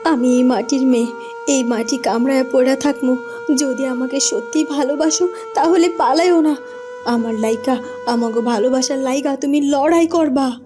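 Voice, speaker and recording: A woman's voice: high-pitched, normal speed, over music, lightly acted. A woman voicing an earnest, emotional young village woman, poor but proud, in a cartoon. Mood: defiant, hurt. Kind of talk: cartoon voice acting.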